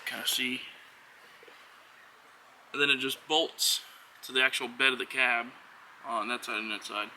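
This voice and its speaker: A man's voice: mid-range voice, steady tone, measured pace, pauses often, bright, forceful tone. A man giving a casual, unscripted how-to walkthrough as a vlogger, talking in an offhand, hesitant way with trailing phrases. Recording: noisy on-location vlog audio.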